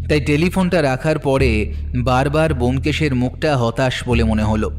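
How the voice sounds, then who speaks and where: A man's voice: mid-range voice, a little mellow. A man narrating a detective story in the first person, in a scripted audio drama style. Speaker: narrator, telling it as the detective's companion. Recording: long reverb, faint music underneath.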